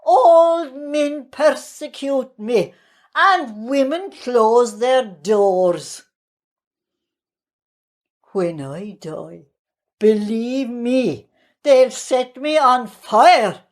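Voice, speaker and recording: An Irish woman actor playing a haunted, persecuted outcast madwoman in a plaintive, deliberate dramatic poetry reading. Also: on the high side, animated. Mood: anguished, fearful.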